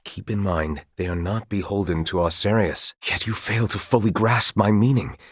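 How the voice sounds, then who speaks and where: A grave military commander from a fantasy game. His voice is very low and notably husky, and he speaks with formal, old-fashioned courtesy and a dutiful, measured bearing. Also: no room sound, clean studio sound. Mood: cautioning. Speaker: video game voice actor. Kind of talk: scripted video game dialogue.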